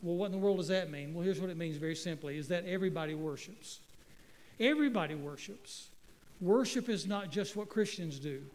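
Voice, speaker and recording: Folksy preacher, high voice. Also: male voice, a little fast, darkish tone, long reverb tail, rough recording. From North Carolina. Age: middle-aged. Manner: folksy, joking, stumbling restarts. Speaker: guest pastor. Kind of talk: sermon. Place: church sanctuary. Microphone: handheld microphone.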